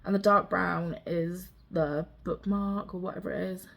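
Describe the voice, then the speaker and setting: A young woman talks in a relaxed, rambling vlog manner. Her voice carries a slight rasp. She sounds self-deprecating and easygoing. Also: on the low side, measured pace. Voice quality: slightly raspy. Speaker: cross-stitch vlogger. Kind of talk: casual show-and-tell chat.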